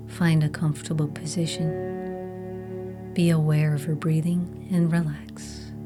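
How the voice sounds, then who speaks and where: A woman narrating a scripted guided meditation in a soothing, hushed, soft and breathy manner. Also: low voice. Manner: soothing, slow, hushed delivery. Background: meditation music.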